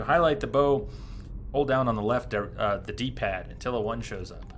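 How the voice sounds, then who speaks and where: A man's voice: medium pitch, fairly bright tone, a little hiss or hum. A man livestreaming a video game, chatting casually and thinking aloud as he comments on his play. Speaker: livestream gamer. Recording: game music behind the voice. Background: video game music.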